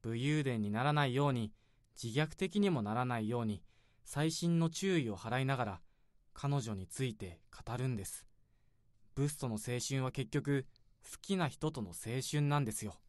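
An actor playing a calm, earnest counsellor who gives measured, solemn advice in a radio drama. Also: medium pitch, no echo, clean studio sound, lightly acted. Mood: reassuring. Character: calm, earnest counsellor researching bittersweet youthful love. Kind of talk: Japanese radio drama dialogue.

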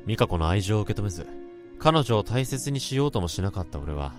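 A man playing a brooding, deep-voiced office worker. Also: sing-song, brisk. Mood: melancholy. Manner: somber, introspective, restrained. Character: brooding male office worker who hears thoughts. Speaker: narrator and lead. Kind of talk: radio drama acting and narration. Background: music.

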